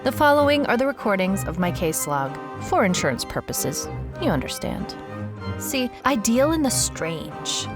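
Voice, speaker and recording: A woman playing a wry, world-weary private detective, narrating her case log in a dry, deadpan noir style. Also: mid-range voice, normal speed, over music, natural voice. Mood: guarded, wry. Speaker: narrator, lead actor.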